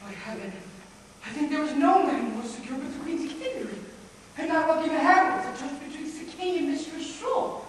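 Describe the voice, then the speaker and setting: A woman's voice: high-pitched, animated, moderate pace. A young woman on stage playing a wronged, resigned nobleman under arrest, with formal, projected Shakespearean delivery. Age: young adult. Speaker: high school stage actor. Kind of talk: theatrical Shakespearean stage acting. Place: high school stage performance, recorded from afar. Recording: echoey theatre hall, distant microphone, faint noise.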